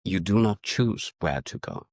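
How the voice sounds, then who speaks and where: A middle-aged man from Afghanistan whose first language is Farsi, answering interview questions in a measured, reflective way and often restarting his sentences. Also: deep voice, expressive, a little mellow, no room sound, clean studio sound. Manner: measured, searching for words with frequent restarts. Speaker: guest, diplomat and educator.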